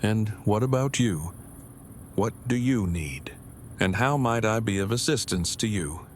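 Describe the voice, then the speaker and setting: Gentle, wordy, formal male partner played by an actor, audio drama; low voice, slight background noise. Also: animated, measured pace. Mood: tender. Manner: verbose, precise, formal phrasing.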